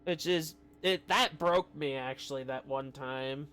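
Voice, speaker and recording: A man's voice: medium pitch, unhurried, a bit bright, smooth. A man giving casual, rambling commentary as a game streamer, often breaking off mid-sentence. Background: faint game music.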